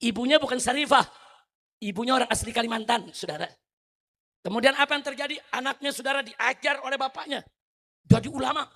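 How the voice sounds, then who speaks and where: A middle-aged Indonesian man preaching a fiery sermon, firing rhetorical questions at the crowd and swinging from low, quiet asides to loud shouting.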